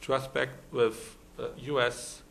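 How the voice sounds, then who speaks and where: Brazilian man giving a halting conference talk. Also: mid-range voice, flat delivery, gravelly, fairly bright tone, a little hiss or hum. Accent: Brazilian Portuguese. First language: Portuguese. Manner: hesitant, many fillers and restarts. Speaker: law professor, conference panelist. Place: conference hall.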